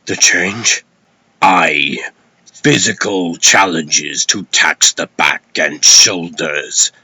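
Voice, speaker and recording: A man's voice: low voice, pushed and bright, slightly rough, no room sound, full character voice. A man playing an old, stern warrior god and lawman in a comic dub, speaking in grave, archaic, commanding oratory. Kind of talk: theatrical comic dub acting.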